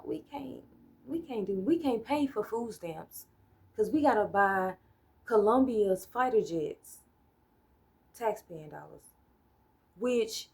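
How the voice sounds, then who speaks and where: A woman's voice: medium pitch, a little mellow. An American woman ranting casually on a solo vlog. She is blunt and profane, rambles, and loses her train of thought. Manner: talks things through with hypotheticals and "right?" checks. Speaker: solo vlogger. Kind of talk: casual political rant.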